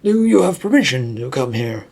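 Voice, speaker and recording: A man playing a stern, unwelcoming gate guard in a fantasy story, formal and curt, with a rough voice. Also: mid-range voice, very animated, normal speed, darkish tone, exaggerated voice. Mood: challenging.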